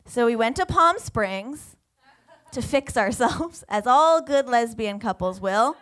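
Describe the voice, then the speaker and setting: Animated young woman doing stand-up. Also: on the high side, takes it slowly. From Vermont, USA. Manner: animated, self-mocking, acts out quotes. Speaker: stand-up comedian. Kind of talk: stand-up set. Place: theater stage.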